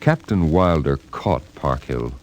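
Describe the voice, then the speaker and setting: An actor as a grave, solemn storyteller, reading scripted narration for a 1950s radio drama. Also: male voice, rumbling low, animated, a little slow, very noisy. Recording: vintage radio, music behind.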